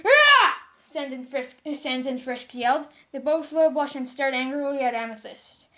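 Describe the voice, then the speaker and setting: A high-voiced woman narrates a fan story as an amateur read-aloud. She reads with stumbles and repeated words. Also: steady tone, moderate pace.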